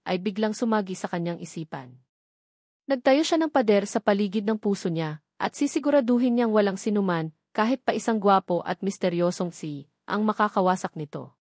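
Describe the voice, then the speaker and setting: A woman's voice: medium pitch, a little mellow, no room sound, studio quality. A woman with a Filipino accent reading scripted narration of a romance story.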